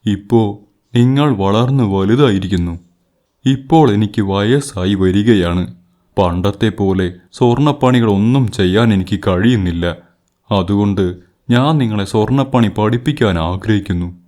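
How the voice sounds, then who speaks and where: A man playing a gentle, patient, ageing goldsmith father in a children's story, speaking in a measured, advising way. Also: lowish voice, lightly acted. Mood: gentle, earnest. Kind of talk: scripted children's story acting.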